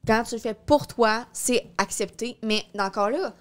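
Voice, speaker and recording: A woman's voice: fairly high pitch, a little slow, clean tone. A woman in her twenties with a Québécois French accent, chatting casually and a bit hesitantly on a studio mic, dropping in English words. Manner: informal, hesitant, peppers speech with English words. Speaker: podcast co-host. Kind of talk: casual discussion. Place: small indoor podcast studio. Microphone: close studio microphone on boom arm.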